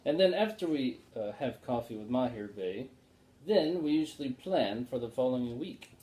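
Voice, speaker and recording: A man's voice: mid-range voice, lively intonation, normal speed, mellow, roomy sound, low-quality recording. A male teacher bantering casually with a colleague, answering in short, agreeable replies.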